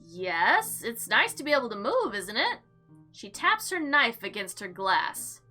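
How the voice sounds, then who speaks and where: A woman hosting a let's play, reading game text aloud with expressive, slangy commentary and sarcastic asides. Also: on the high side, unhurried, loud music behind the voice. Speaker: gaming video host.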